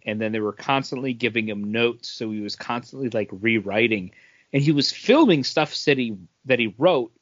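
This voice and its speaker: A man's voice: mid-range voice, fairly bright tone, no echo, highs cut off. A man co-hosting a podcast in a casual, conversational style. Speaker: podcast co-host. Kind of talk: casual chat about comics.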